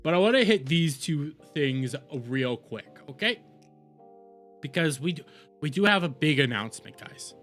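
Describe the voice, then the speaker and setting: A man's voice: fairly high pitch, lively intonation, normal speed, fairly bright tone, faint music behind. A man doing casual live-stream commentary, rambling, repeating himself and swearing freely. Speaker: streamer reacting to videos.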